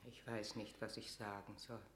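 An actress playing an imperious but wavering, troubled queen in a classical radio drama, declaiming in a halting, dramatic way. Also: extremely low pitch, level tone, roomy sound, slight background noise. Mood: shaken, torn. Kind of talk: classical German stage-verse radio drama.

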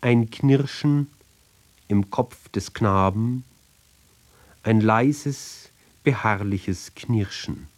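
Slow, deliberate man reading poetry. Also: fairly low pitch. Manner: deliberate, measured phrasing with pauses between short fragments. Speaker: reader of literary poems. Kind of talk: literary reading of narrative poetry with short spoken introductions.

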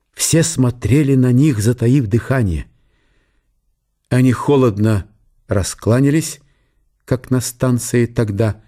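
A man's voice: mid-range voice, mellow. A man narrating a historical radio play as dramatic literary storytelling.

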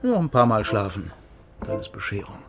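An actor playing a self-assured, conspiratorial East German secret police lieutenant with clipped, wry delivery in a radio crime drama. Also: male voice, on the low side, wildly swooping pitch, normal speed, music in the background.

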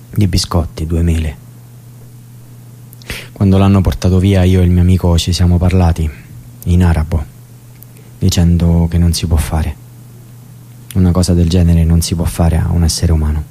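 Deep mellow guy acting out a sad cellmate telling what happened, radio drama, soft music under it. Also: normal speed, pauses often, slight background noise, close to an everyday voice. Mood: somber. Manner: plain testimony, recounting events calmly. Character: grieving male prison cellmate, young adult, earnest.

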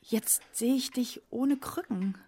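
A woman's voice: on the high side, takes it slowly, mellow, husky. An actress playing a troubled, intense woman in a German radio drama. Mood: tender, troubled.

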